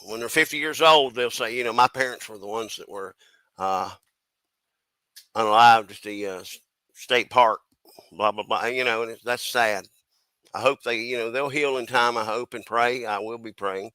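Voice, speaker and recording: A man from the US East Coast chatting casually as a stream panelist, giving his opinions in a halting, folksy way. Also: medium pitch, bright, forceful tone, dry recording, rough recording. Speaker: panelist on a crime chat stream.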